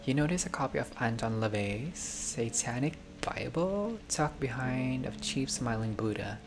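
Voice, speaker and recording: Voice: mid-range voice, expressive, a little slow. Game playthrough narrator over music. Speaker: gaming content creator reading a visual novel aloud. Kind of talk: playthrough narration with casual reactions. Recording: music behind the voice.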